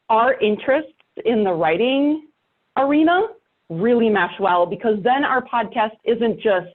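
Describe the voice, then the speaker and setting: A woman's voice: on the high side, narrow, tinny audio. A woman speaking casually as a guest in a podcast interview.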